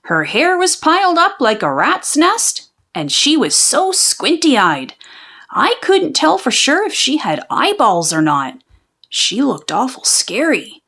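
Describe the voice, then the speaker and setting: A woman narrating a children's storybook in an expressive, folksy storytelling manner. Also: high voice, slow pace. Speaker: children's book read-aloud narrator. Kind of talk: animated storybook narration of a folksy tall tale.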